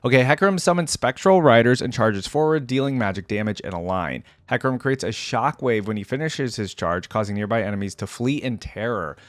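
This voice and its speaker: A man's voice: mid-range voice, expressive, brisk, hardly any pauses, no room sound. Casual man commentating, dry close sound. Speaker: gaming reaction video host.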